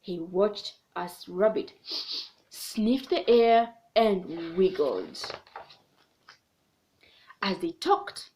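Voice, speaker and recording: A woman reading a children's book aloud in an expressive, animated storytelling manner, pausing so a child can join in. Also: medium pitch, slight background noise, rough recording.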